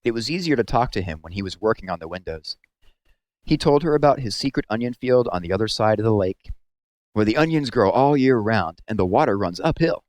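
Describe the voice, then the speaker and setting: A man with a fairly low voice reads a story aloud. His phrasing is measured and expressive, in the manner of storybook narration. The sound is dry and close, without room echo.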